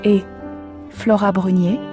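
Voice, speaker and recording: A woman reading the closing credits of a radio drama in an even, formal manner. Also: medium pitch, slow pace. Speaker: credits announcer. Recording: music under the voice.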